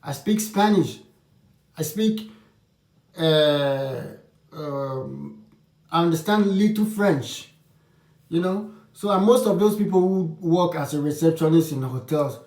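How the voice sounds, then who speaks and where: A man with a fairly high voice speaks his mind in a frank, opinionated way, breaking off and restarting as he goes. He sits some distance from the mic, and the room answers with a noticeable echo. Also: slow, pauses often, a little mellow. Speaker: vlogger. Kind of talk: casual advice talk to camera.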